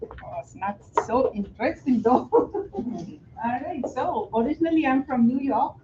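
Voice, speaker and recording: An older woman with an Indian accent talks casually to a small meeting, modest and easy to laugh. Her voice sits a bit high. The recording is lo-fi, with a roomy echo. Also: expressive, measured pace, dark tone, a little hiss or hum. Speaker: new real estate agent. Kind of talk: casual self-introduction at a meeting. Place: meeting room. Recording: echoey room, lo-fi.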